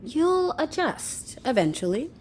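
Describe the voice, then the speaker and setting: A woman's voice: high voice, big pitch swings, slow pace, darkish tone, exaggerated voice. An actress in an audio drama playing a breezy, smug woman with a flippant, sardonic way of talking. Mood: smug, amused. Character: breezy, smug woman with the upper hand over a man.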